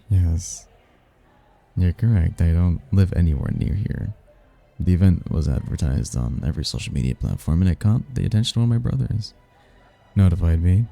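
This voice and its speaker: Casting: male actor as suave demon seducer for ASMR roleplay, very low gravelly voice, courtly and flirtatious, prideful. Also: mellow, heavily acted. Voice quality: husky, gravelly. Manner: smooth-talking, courtly, flirtatious. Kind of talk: ASMR roleplay, one-sided romantic conversation. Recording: music behind the voice.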